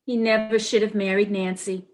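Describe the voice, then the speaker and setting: A woman's voice: medium pitch, level tone, moderate pace, darkish tone, close to an everyday voice. A woman playing an anxious, sympathetic, easily overwrought neurotic wife in a stage comedy reading, fretful and emotional. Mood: emotional, reproachful. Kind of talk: stage comedy readers theater.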